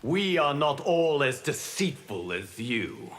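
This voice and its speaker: A man's voice: medium pitch, very animated, slow pace, a bit bright, slight background noise. A man playing a noble, stern and righteous god in a fighting game. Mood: solemn challenge. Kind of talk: video game fight intro banter.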